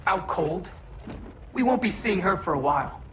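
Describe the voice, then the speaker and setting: A man's voice: high-pitched, moderate pace, noisy background. A man voicing an eager, wisecracking teenage superhero in a video game cutscene. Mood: awed, nervous. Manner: excitable, youthful. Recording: game music behind the voice.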